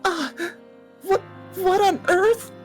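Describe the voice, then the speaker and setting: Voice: very high-pitched, slow, faint music behind, voice pushed up. A performer voicing a frightened, lost young amnesiac heroine in a visual novel, pleading and exclaiming. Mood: panicked. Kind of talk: dramatic visual novel character reading.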